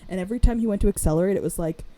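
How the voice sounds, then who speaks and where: A woman in her twenties co-hosting a podcast, chatting in a rambling, animated way, her voice raspy today. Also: mid-range voice, racing. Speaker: podcast co-host. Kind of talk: casual chat.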